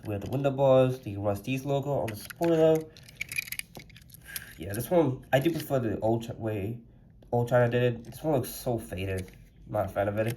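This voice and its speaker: Chatty guy, a bit congested, rambling about his toy custom, lots of "like", average pitch. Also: normal speed, dark tone, a little hiss or hum. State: slightly congested from allergies. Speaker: toy collector reviewing a custom die-cast car. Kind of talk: casual review vlog.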